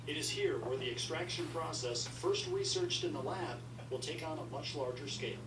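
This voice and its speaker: Lively TV reporter heard through a room. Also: male voice, on the high side, moderate pace, far from the mic. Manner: crisp broadcast delivery. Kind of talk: scripted news report. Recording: TV audio played into an echoey room, noisy.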